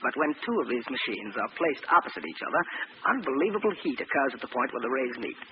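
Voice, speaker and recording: A man playing a cold-blooded, gloating villain scientist with mock-courteous, deliberate speech in 1940s radio drama style. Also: mid-range voice, very animated, close to an everyday voice. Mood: gloating, amused. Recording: muffled, noisy old radio recording.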